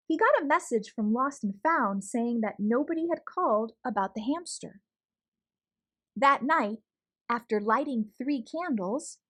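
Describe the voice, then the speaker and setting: Woman doing kids story time, higher voice, really animated, up and down a lot. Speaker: read-aloud storyteller. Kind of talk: expressive children's picture book narration.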